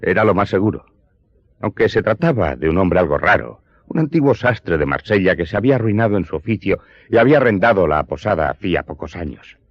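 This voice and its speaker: A man's voice: sits low, lively intonation, brisk, no echo, lo-fi sound. A man playing a wary smuggler who recounts his past as dramatic narration in a radio play. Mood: recalling, measured.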